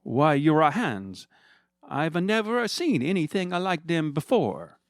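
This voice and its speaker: A man voicing a spry old male photographer in a put-on stereotyped Italian accent, who talks slowly and gruffly. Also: fairly high pitch, sing-song, dry recording, clean studio sound, voice pushed up, heavily acted. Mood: admiring. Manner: gruff, slow, admiring.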